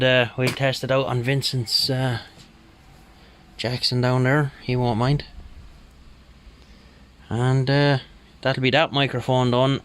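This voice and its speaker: A man's voice: medium pitch, slight background noise. A man giving a casual, hesitant running commentary as he works on a repair, muttering to himself at times. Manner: unhurried, muttering, hesitant while working. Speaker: hobbyist radio restorer.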